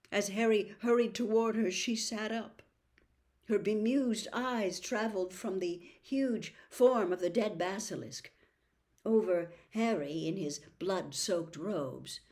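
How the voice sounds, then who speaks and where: A woman reading a storybook aloud as narrator, expressive and suspenseful, with dramatic pauses. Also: mid-range voice. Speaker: audiobook reader, host.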